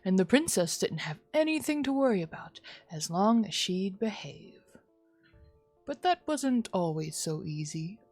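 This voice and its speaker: A woman plays a gentle father in a story game, telling an ominous bedtime tale. The delivery is very slow and hushed, measured out phrase by phrase, with faint music behind. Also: mid-range voice, big pitch swings. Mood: ominous, soothing. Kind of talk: visual novel read-aloud.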